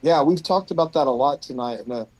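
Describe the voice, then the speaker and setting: A man's voice: mid-range voice, fast, no room sound, poor audio. A man in his late thirties who runs a rescue mission, talking earnestly and thinking aloud in a casual conversation outdoors. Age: 30s. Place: outdoors on a bench.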